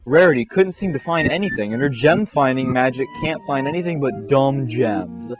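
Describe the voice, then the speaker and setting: A man's voice: medium pitch, lively intonation, normal speed. A man narrating a comic fan-fiction story in a theatrical, mock-serious way. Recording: music behind the voice.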